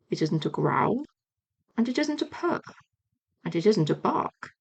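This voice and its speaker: Woman as gentle storybook he-bear, simple, polite, puzzled, slightly creaky. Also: a little high, full character voice. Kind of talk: storybook character voice.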